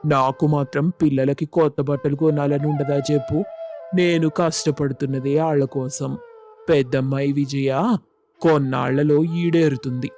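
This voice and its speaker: Man voicing a flat-toned, careworn father. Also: on the high side, normal speed, natural voice. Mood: earnest, resigned. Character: frugal, careworn village farmer father, firm but loving. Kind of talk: scripted moral story dialogue.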